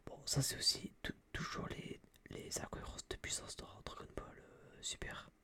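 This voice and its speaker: A young man whispering a casual, opinionated ASMR review in a breathy voice, rambling and slangy, close to a binaural microphone. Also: normal speed, sharp tone. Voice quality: breathy, mostly unvoiced whisper. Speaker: host of an ASMR film review video.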